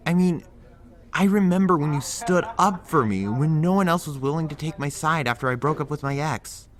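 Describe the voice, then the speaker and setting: A man's voice: a little high, expressive, moderate pace, natural voice. An actor playing a blunt young man who pushes his friend with firm, lecturing tough love in an audio drama. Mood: earnest.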